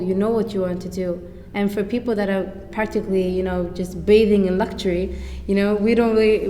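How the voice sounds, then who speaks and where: An eighteen-year-old Swedish woman speaks English as a second language in a relaxed group discussion, searching for her words and dropping in plenty of fillers. The room is echoey with a long reverb tail and a faint hiss behind her. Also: mid-range voice. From Sweden. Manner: thoughtful, many fillers like "you know". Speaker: exchange student. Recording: echoey hall with faint noise.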